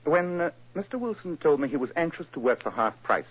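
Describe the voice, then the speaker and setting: A crisp, commanding detective in a vintage radio play. His husky voice rises and falls in wide lively swings. The broadcast sounds muffled and dull. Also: on the high side. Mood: explaining. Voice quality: crisp, authoritative, brisk. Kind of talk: 1940s radio acting.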